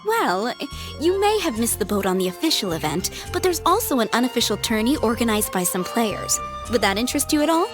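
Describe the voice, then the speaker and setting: Friendly helpful town lady from a game, upbeat, lively pitch, explains things politely. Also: a little fast, hardly any pauses. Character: friendly, helpful townswoman giving event information. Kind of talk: video game voice acting. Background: music.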